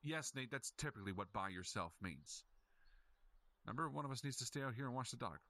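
An actor playing a calm, dryly sarcastic male police officer in an audio drama. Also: medium pitch, brisk, no echo.

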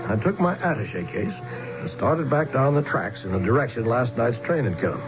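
An actor playing a skeptical, down-to-earth outsider with a plain-spoken, wry manner in a radio drama. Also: male voice, mid-range voice, lively intonation, normal speed, lightly acted. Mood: worried, reflective. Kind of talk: radio drama acting with first-person narration. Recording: muffled radio broadcast with music.

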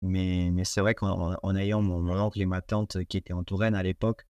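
A man's voice: low-pitched, little pitch movement, normal speed, darkish tone, no echo, lo-fi sound. A young man from Portugal, whose first language is Portuguese, speaking French with a Portuguese accent as an interview guest, hesitant with many fillers and restarts. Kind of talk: casual interview conversation.

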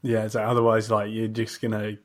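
A man's voice: fairly low pitch, monotone, a little fast. A man hosting a podcast, speaking in a casual, conversational interview style.

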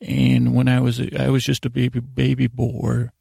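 A man hosting a bedtime podcast, rambling and halting through tangents with loose, slightly slurred diction to lull listeners to sleep. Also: mid-range voice, monotone, vocal fry, warm tone, breathy edge, dry recording, clean studio sound. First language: English. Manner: meandering, halting, repeats and trails off into tangents. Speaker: podcast host.

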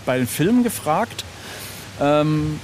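A man's voice: a little high, expressive, slow pace, darkish tone. A middle-aged man being interviewed as an illustrator, telling anecdotes in a casual, informal and self-deprecating way. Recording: clearly noisy background ambience.

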